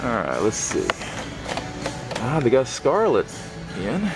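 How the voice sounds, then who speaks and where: Slow-paced man musing aloud over music. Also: medium pitch, wildly swooping pitch, low-quality recording. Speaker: host of a toy-hunting vlog. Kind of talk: casual off-the-cuff commentary while browsing store shelves. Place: inside a large retail store.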